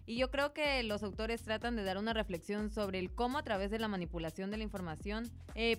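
A woman's voice: fairly high pitch, a bit bright. A young woman giving earnest, formal, prepared answers as a student guest on a radio show. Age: young adult.

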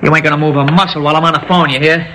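An actor playing a jumpy young hoodlum who barks threats in tough street slang, in 1960s radio drama style. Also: male voice, fairly high pitch, fairly even intonation, very fast, bright, forceful tone. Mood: tense, bossy. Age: young adult. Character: jumpy young hoodlum holding a shopkeeper hostage. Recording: noisy old radio recording.

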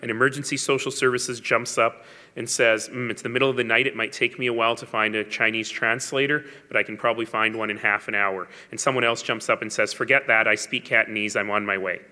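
A man's voice: mid-range voice, steady tone, quick pace, bright, forceful tone. A man giving a public speech as a mayor, telling stories with wry asides and emphasis. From Canada. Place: large hall. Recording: long hall reverb.

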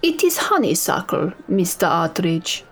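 Man as a grand German prima donna woman, haughty, precise, animated pitch, storybook character. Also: measured pace, slightly rough, full character voice. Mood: cool, imperious. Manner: imperious, precise, theatrical.

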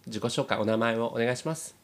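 A man's voice: mid-range voice, fairly even intonation, quick pace. A man hosting a podcast and reading scripted narration as its presenter.

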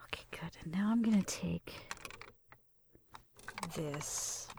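A woman performing an ASMR roleplay in a gentle, breathy whisper, murmuring through each step close to the microphone. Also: on the low side, slow. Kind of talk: ASMR roleplay, soft personal attention. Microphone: close microphone, dry sound.

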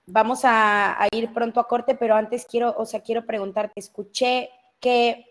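A woman's voice: a little high, steady tone, moderate pace. A woman from Mexico with a Mexico City accent, hosting a casual live news chat and talking freely with many fillers. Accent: Mexico City Spanish. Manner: talkative, many fillers and self-interruptions. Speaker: host.

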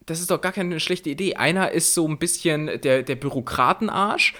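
A young man co-hosting a podcast, chatting casually, animated and quick to laugh. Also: on the high side. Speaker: podcast co-host. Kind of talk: casual chat.

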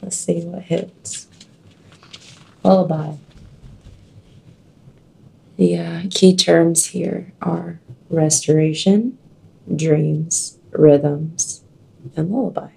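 A woman with a scratchy, deep voice, chatting casually and slowly reading an oracle card aloud. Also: vocal fry, husky. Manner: slow, dreamy reading with soft laughs.

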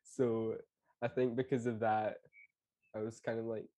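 A man with a soft Scottish accent hosts a relaxed conversation. His voice is mellow and warm in tone, and he chuckles easily and nods along with "aye". He keeps an easygoing, chatty manner. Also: on the low side, a little slow. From Canada. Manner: relaxed, agreeable, often laughing and saying "aye". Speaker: podcast host. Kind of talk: casual interview chat.

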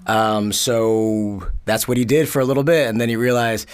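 A man, a podcast guest born in Korea and raised in the Bronx, telling his family story in a relaxed, measured way with wry asides. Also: fairly low pitch, smooth. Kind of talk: casual podcast conversation.

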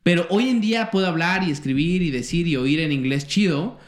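A man co-hosting a casual podcast, animated and profane as he chats. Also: on the high side, a little fast. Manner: profane, animated, chiming in often. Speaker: podcast co-host. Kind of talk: casual crude banter.

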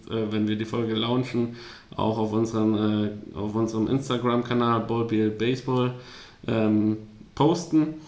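A man's voice: medium pitch, roomy sound. A man co-hosting a podcast, chatting casually and rambling with many fillers and restarts. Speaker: podcast co-host. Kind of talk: casual chat.

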